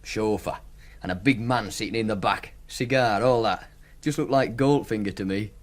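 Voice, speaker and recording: A man's voice: on the low side, normal speed, natural voice. A man acting in a radio drama as a cocky, teasing racing punter with a Yorkshire accent, speaking colloquially like a storyteller. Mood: nostalgic, wistful. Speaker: actor.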